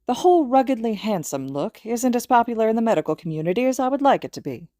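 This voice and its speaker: A woman voicing a bossy elderly female healer in an audiobook, brisk and dry-humored. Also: on the high side, sing-song, dark tone, no echo, voice pushed up. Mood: wry, amused.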